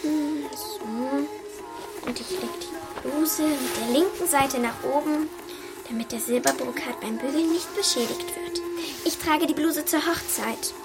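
Excitable high-voiced schoolgirl. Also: measured pace, over loud music. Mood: matter-of-fact narrating. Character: excitable young schoolgirl, a stubborn and dreamy granddaughter. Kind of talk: German children's radio play acting with first-person narration.

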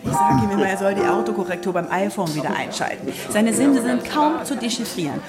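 A woman's voice: medium pitch, animated, quick pace, lightly acted. A woman acting in a radio drama as a teasing younger woman who needles and questions. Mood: teasing, puzzled. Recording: loud music behind the voice.

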